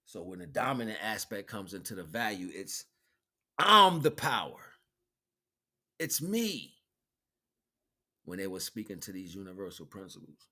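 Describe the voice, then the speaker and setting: Guy with a lowish voice doing a spiritual livestream talk, slangy, emphatic, says things twice. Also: bright, forceful tone. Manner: emphatic, repeats phrases for emphasis; colloquial, checks in with rhetorical questions. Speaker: spiritual teacher, livestream host. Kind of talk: improvised spiritual lecture, streamed.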